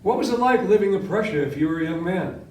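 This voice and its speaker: An older man, a history professor born in Latvia with Latvian as his first language, giving a classroom lecture and quizzing his students, talking with lively gestures. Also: high voice, quick pace, slight background noise. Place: seminar room with whiteboard. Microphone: far camera. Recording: echoey classroom from far off.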